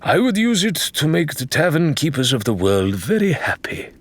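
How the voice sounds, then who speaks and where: A man's voice: on the high side, sing-song, moderate pace, no echo, pitched above the performer's own voice. A man voicing an exuberant, good-humoured pirate in an audiobook, with florid, emphatic, lilting phrasing. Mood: jovial, expansive. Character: exuberant, good-humoured, cautious male pirate who loves taverns. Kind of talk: audiobook character voice.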